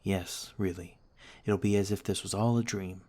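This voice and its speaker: A man acting a courteous, caring vampire lord in an ASMR roleplay, speaking in a gentle, formal, measured way. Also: sits low, steady tone. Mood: kindly, reassuring. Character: courteous, caring noble vampire lord, male, calm protector.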